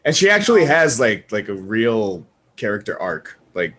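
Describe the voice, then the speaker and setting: A man in casual pop culture banter on a podcast panel, animated, opinionated and quick to swear. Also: lowish voice.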